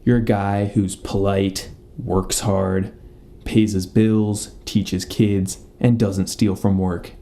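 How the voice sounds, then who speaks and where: A man's voice: lowish voice, level tone, takes it slowly, mellow. A man playing a stern, methodical detective in a mock police interrogation. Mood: stern, deliberate.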